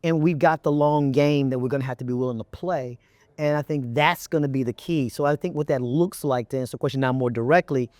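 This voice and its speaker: A man's voice: a little high, a little mellow, no echo, studio quality. A middle-aged man from Texas with an American accent answers conversationally as an interview guest, repeating phrases for emphasis. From Texas, United States. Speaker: chief executive, interview guest. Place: indoor event interview set. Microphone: lapel mic.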